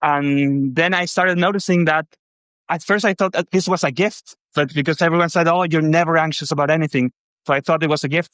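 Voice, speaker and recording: A man's voice: on the high side, fairly bright tone, no room sound. A man in his thirties with a Chilean Spanish accent, explaining himself to a host in hesitant second-language speech. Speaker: caller. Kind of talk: conversational, explaining.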